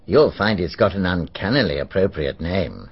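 An actor playing a plain-spoken, friendly male police superintendent, matter-of-fact, with a husky voice, in a radio drama. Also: deep voice, expressive, normal speed, heavily acted. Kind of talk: BBC radio drama acting. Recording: dull archival radio recording.

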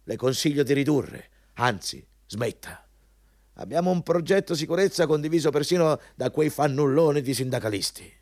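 An actor playing a domineering, hypocritical company boss in a theatrical Italian radio drama, pompous and mocking in manner. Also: male voice, fairly high pitch, lively intonation, normal speed, darkish tone, natural voice. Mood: pompous, bossy. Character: domineering, hypocritical male company boss interviewing a job applicant.

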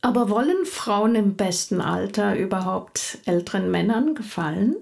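A woman's voice: mid-range voice, animated, normal speed, vocal fry. An actress playing a self-assured, teasing woman in her prime in an intimate radio drama scene. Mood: teasing, amused. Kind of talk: German radio drama dialogue.

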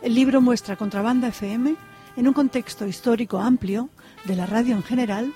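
Warm-voiced woman reading a radio promo. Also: fairly high pitch, fast, soft background music. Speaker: promo announcer.

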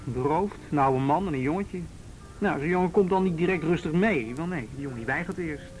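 A man's voice: fairly quick, darkish tone, faint music behind, close to an everyday voice, poor audio. An actor playing an earnest policeman who defends the force, talking casually and colloquially in a radio drama. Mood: defensive, earnest. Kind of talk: Dutch radio drama acting. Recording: radio play with faint rumble.

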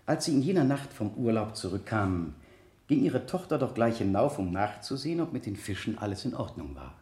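Male examiner, radio drama. Methodical, insistent, courteous, with animated pitch in a roomy acoustic. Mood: probing, polite. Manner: deliberate, insistent, formally polite questioning. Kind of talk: 1970 German radio play acting.

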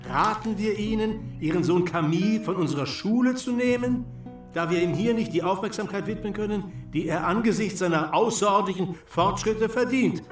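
A man's voice: sits high, normal speed, lightly acted. An actor playing an indignant, mocking father in a radio drama, speaking in a theatrical, sarcastic manner. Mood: mocking reading aloud. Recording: roomy, distant pickup with music.

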